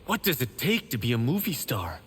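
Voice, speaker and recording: An actor voicing a boastful, vain young monk in a video game. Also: male voice, medium pitch, big pitch swings, fairly quick, close to an everyday voice. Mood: cocky.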